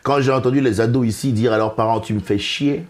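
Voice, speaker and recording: A young man from Benin co-hosts a relaxed conversation. He talks in a measured, reflective way, and his voice often sinks lower and quieter when he makes a point. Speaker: podcast co-host, stand-up comedian. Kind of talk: casual chat.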